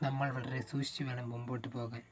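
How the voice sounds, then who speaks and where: A man's voice: mid-range voice, level tone, fast talker, recorded from a distance, heavy background noise, close to an everyday voice. A man playing an agreeable, cautious member of a cartoon expedition team. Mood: serious. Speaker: voice actor in an animated adventure. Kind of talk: Malayalam cartoon dialogue.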